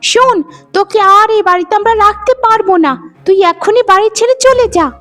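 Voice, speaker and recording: A woman's voice: very high voice, a little fast, a bit bright, faint music behind. A woman voicing a spiteful young woman, a sneering younger sister in a cartoon, with a taunting manner. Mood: threatening, angry. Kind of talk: cartoon voice acting.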